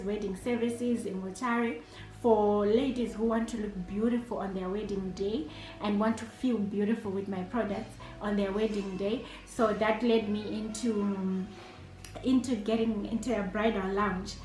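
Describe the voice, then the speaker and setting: A woman's voice: mid-range voice, a little mellow. Zimbabwean woman, hesitant, echoey room. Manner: hesitant, many fillers and restarts. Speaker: entrepreneur and chef. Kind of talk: answering interview questions alone.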